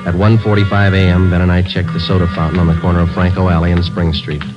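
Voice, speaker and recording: Stern detective, played by a man: deep, fast, clipped, unemotional, dull vintage radio sound. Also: over loud music, natural voice. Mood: deadpan narration. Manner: deadpan, clipped, matter-of-fact. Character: terse, stern, no-nonsense male police detective. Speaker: lead actor and narrator. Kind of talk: 1940s radio crime drama acting and narration. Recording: muffled old 1940s radio recording.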